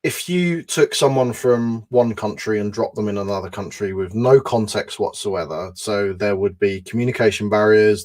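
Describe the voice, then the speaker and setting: A man with a fairly low voice answers interview questions at length. He explains his ideas step by step and often lays them out as lists. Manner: explanatory, builds points in lists. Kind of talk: conversational interview.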